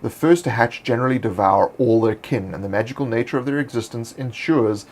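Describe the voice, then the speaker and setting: A man hosting, reading fantasy monster lore in a measured, scripted narration. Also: medium pitch. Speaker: host.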